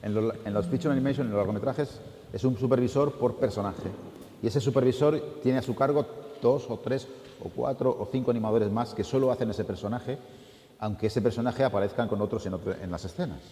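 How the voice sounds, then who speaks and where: A man's voice: medium pitch, brisk, warm tone, slight background noise. A man on an animation panel answering audience questions with loose, restarting explanations. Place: art school event hall. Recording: echoey hall.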